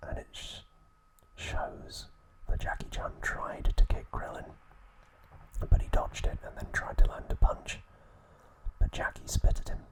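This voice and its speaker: A man speaks entirely in a breathy whisper, as the host of an ASMR video. He narrates a recap softly and in measured, short phrases. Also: poor audio.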